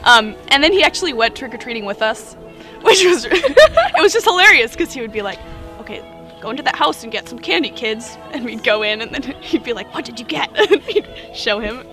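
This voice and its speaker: A woman's voice: a little high, sing-song, a little fast, sharp tone, faint music behind. A teenage student recalling her former band teacher in a chatty, giggly interview. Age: teens. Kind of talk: casual interview.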